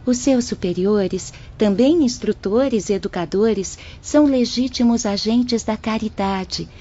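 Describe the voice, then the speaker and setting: Woman, audiobook narrator, mid pitch, composed, explanatory, dull recording, faint noise. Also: warm tone.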